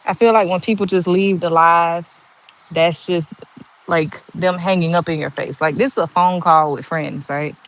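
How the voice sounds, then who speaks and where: A woman in her forties with a monotone voice, chatting slowly and candidly on a livestream and answering viewers' comments. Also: lowish voice. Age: 40s. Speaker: livestream host. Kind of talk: casual live chat answering viewer comments.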